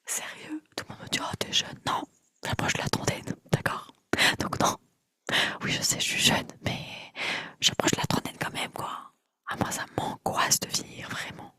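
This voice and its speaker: A woman's voice: normal speed, no echo. Whispering young woman, close and chatty. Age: 20s. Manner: soft whisper, chatty and rambling, repeats trigger words. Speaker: ASMR creator. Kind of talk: whispered ASMR chat.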